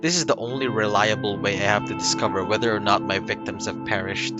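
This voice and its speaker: A man streaming a game, reading its first-person narration aloud in a calm, unhurried way with small slips and restarts. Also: on the low side, lo-fi sound. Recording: loud music behind the voice. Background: loud music.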